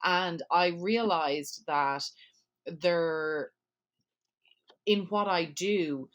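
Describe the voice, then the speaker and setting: A woman with a low voice hosts a podcast, talking at length in a chatty, self-deprecating way. Her phrasing is loose and conversational, with pauses and fillers along the way. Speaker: podcast host, memorial planner. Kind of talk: podcast interview.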